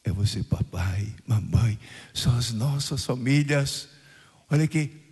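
An older Brazilian priest from southern Brazil, reading the gospel and preaching a homily at mass, with emphatic rising phrases and questions put to the congregation. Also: male voice, mid-range voice, animated, measured pace, darkish tone, slightly rough. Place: church. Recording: radio broadcast of a mass in a reverberant church.

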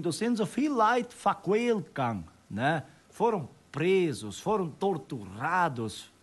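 A man's voice: high-pitched, slightly rough. A man from Brazil, casually telling local history and often ending phrases with "né?". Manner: animated, frequent "né?" tag questions.

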